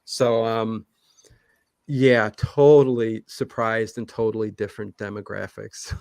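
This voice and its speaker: An American man from Cleveland chatting casually as a livestream guest, excitable, often repeating himself and interjecting. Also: lowish voice, slow, creaky, dry recording. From Cleveland, United States. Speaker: YouTube channel host, interview guest.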